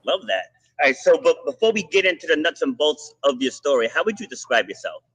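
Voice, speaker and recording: Husky, forceful motivational host. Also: male voice, fairly high pitch, sing-song, rough recording. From Rhode Island. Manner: motivational, talks in quick bursts with restarts. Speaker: podcast host. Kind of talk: energetic podcast monologue and interview.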